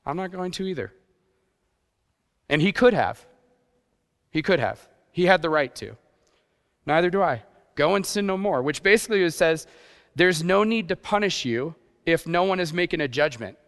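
A youth pastor preaching a sermon in a conversational way, with rhetorical questions and playful asides. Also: male voice, a little high, animated, moderate pace, long pauses, a bit bright. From grew up partly in Japan. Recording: long hall reverb.

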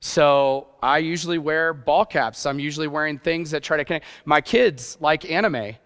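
A youth pastor preaching a sermon in a conversational way, with rhetorical questions and playful asides. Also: male voice, a little high, normal speed, a bit bright. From grew up partly in Japan. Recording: long hall reverb.

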